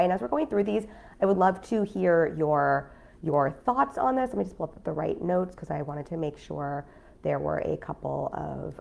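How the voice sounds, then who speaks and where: A business coach with a cold, talking casually on a live stream in a nasal, stuffy voice with lots of fillers. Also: female voice, sits low, gravelly. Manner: chatty, frank, many fillers. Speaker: business coach and podcast host.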